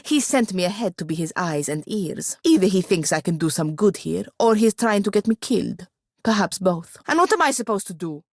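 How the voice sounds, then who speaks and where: A woman's voice: medium pitch, lively intonation, a little fast, a little mellow, no echo. A woman playing a proud, long-suffering wizard's apprentice in a video game, with formal wording and dry, biting sarcasm. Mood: frustrated, defiant. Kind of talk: video game character voice acting.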